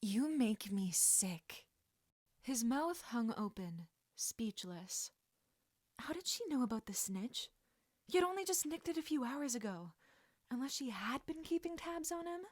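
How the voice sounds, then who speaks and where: A woman reading a story aloud as an expressive audiobook narrator. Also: high-pitched, normal speed, no echo, studio quality. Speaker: audiobook narrator of fan fiction.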